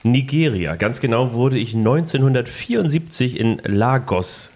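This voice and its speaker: A man's voice: mid-range voice, lively intonation, a little slow, a little mellow. A man co-hosting a casual football podcast, playfully reading out quiz clues and joking with his partner. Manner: playful, reads quiz clues in first person with puns. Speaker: podcast co-host.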